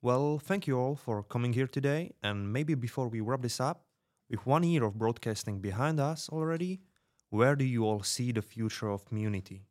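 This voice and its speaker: A man playing a polite, measured radio host in a fiction podcast. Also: mid-range voice, expressive, a little mellow, lightly acted. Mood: courteous. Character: Nemo, courteous radio host in dystopian Paris.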